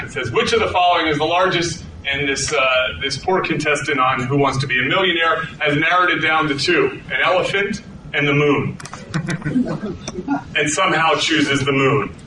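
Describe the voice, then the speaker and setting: A middle-aged man giving a conversational lecture to an audience, with frequent restarts. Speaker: researcher giving a talk. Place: hall with an audience. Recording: echoey hall, distant mic, rumbling noise.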